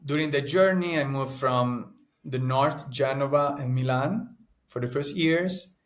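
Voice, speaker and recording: A man's voice: mid-range voice, a little slow. A man in his thirties from Italy, speaking English with an Italian accent as an interview guest, earnest and reflective, often restarting his sentences. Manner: earnest, searching for words, frequent restarts and "no?" tags. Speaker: guest, actor and painter.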